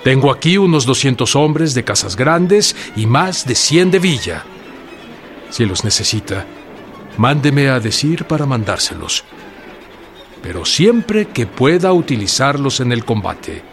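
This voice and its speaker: A man's voice: medium pitch, sing-song, measured pace, faint music behind. A Mexican man acting in a radio drama as an earnest revolutionary president-elect, formal and emphatic. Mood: formal, stern.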